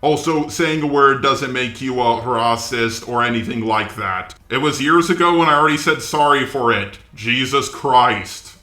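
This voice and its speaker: A man's voice: mid-range voice, normal speed, hardly any pauses, pushed and bright, reverberant. A man delivering scripted commentary in a sarcastic, exasperated way, with swearing. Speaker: commentary video creator.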